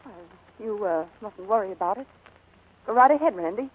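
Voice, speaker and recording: A woman's voice: medium pitch, lively intonation, a little fast, close to an everyday voice. An actress playing a plucky young woman pilot in 1940s radio drama, hesitant and wistful behind brave wisecracks. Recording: noisy vintage 1940s radio broadcast.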